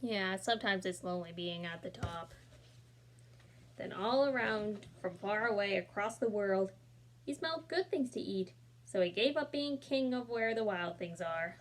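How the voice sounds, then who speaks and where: A woman reads a picture book to children at a steady, mid-pitched level. She brings expression to the characters' lines and stops to chat gently with young listeners between pages. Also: normal speed, poor audio. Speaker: storybook reader. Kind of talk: children's read-aloud with asides.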